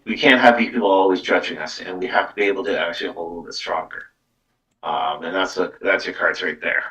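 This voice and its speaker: A man with a deep voice and a bright, forceful edge speaks a little away from the microphone. He hosts a casual live tarot stream, rambling and repeating himself as he goes. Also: steady tone, brisk. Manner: rambling, repeats words and tags "though" onto phrases. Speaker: psychic medium host giving live card readings. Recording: distant from the microphone.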